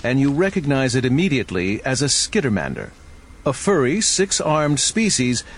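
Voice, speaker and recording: A man's voice: mid-range voice, wildly swooping pitch, normal speed. A man reading scripted second-person story narration as the narrator of an audio adventure game.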